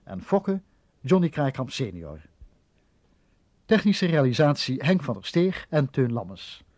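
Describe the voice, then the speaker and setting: A man's voice: mid-range voice, sing-song, measured pace, darkish tone, no echo, clean studio sound. A man reading scripted closing credits as a radio announcer in a formal style.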